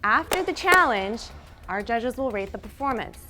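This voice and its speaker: A woman's voice: fairly high pitch, very animated, normal speed, bright, forceful tone. A woman hosting a TV fitness competition, reading scripted lines in an upbeat, announcing way that builds suspense. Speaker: competition show host.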